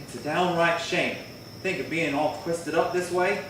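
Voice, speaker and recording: A man's voice: fairly high pitch, a little slow, a bit bright. A man playing a folksy, fretful deputy sidekick in a Western radio drama, with a plaintive way of talking. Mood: rueful. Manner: plaintive, folksy drawling dialect. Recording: echoey hall, distant mic, faint noise.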